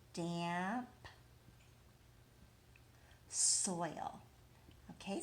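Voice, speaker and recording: A woman teaching young children in an encouraging way, asking questions, answering them as if replying to the children and repeating key words. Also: on the low side. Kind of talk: interactive lesson for young children.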